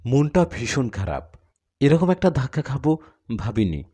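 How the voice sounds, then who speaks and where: A man's voice: medium pitch, very animated, measured pace, warm tone, slightly rough. A man narrating a dramatic first-person horror story.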